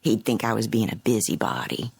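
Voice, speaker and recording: A prim, fretful neighbor woman in a radio play, with a creaky, husky low voice. She hints at things hesitantly, her tone swinging up and down. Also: very animated, brisk, dry recording, heavily acted. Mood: hesitant, uneasy. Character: fretful, busybody suburban woman neighbor, politely insinuating. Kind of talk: radio drama acting.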